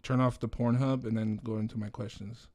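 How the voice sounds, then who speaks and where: A man's voice: fairly low pitch, flat delivery, a little fast, a little mellow. Bay guy hosting his podcast, rambly and jokey, switches spanish all the time. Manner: joking, rambling, mixes Spanish and English. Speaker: podcast host. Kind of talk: casual podcast interview.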